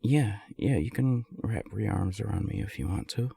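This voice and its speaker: A man playing a lovestruck, self-deprecating non-binary friend in an intimate romantic roleplay audio, speaking softly and haltingly in a breathy, husky voice. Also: lowish voice, expressive, slight background noise. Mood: shy, happy. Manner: hesitant, halting, soft-spoken.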